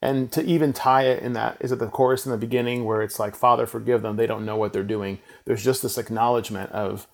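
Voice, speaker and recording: A man in a casual chat about worship songs, speaking in a thoughtful, reflective way with many filler pauses. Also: mid-range voice, little pitch movement, creaky.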